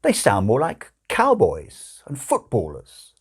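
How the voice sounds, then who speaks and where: A man's voice: medium pitch, huge pitch swings, slow, breathy edge, full character voice. A man voicing a hesitant, opinionated and conventional English father in an audiobook. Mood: dismissive. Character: Mister Young, doubtful, conventional English father.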